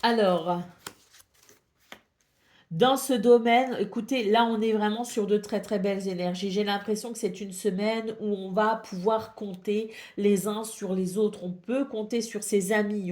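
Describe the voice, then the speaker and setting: A woman with a French accent reads a weekly horoscope from her tarot cards. She has a head cold and talks in a hesitant way, with frequent fillers. Her voice sits at a middle pitch. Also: a little mellow. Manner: hesitant, many fillers and repeated maybe. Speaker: tarot reader. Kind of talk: weekly horoscope card reading.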